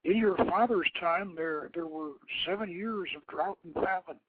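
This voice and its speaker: A man's voice: high voice, moderate pace, a little hiss or hum, voice pushed up, natural voice. A man voicing a weary, grieving king, solemn and confiding, in a storybook reading. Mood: sorrowful. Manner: sorrowful, solemn. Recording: tinny phone-like internet radio audio.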